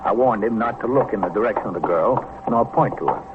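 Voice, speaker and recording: An American man playing a stern police captain in a vintage radio crime drama, dry, measured and authoritative. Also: on the low side, natural voice. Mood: measured narration. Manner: dry, authoritative, matter-of-fact. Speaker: police captain narrating his case. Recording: noisy, narrow-band old radio recording.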